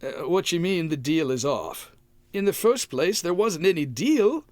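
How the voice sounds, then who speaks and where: Man playing a folksy husband with swooping pitch. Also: very animated, measured pace, a little mellow, no room sound. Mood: flustered. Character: folksy, exasperated, wisecracking husband. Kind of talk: old-time radio comedy acting.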